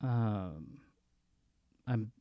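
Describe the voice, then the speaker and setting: A man's voice: lowish voice, expressive, drawn-out words, dry recording, dull audio. A man playing a tabletop role-playing game, improvising his character's dialogue in a casual, conversational way.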